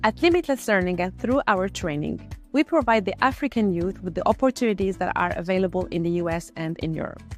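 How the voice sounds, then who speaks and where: A woman's voice: fairly low pitch, quick pace. Algerian woman, scripted promo over music. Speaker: founder presenting an e-learning platform. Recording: music behind the voice.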